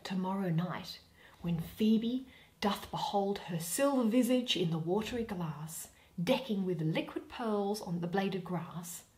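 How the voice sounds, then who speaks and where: A young woman reading a play in verse aloud, dramatically and expressively, pausing between phrases. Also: mid-range voice, a little mellow. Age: young adult. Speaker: reader of a Shakespeare play. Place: small plain room indoors.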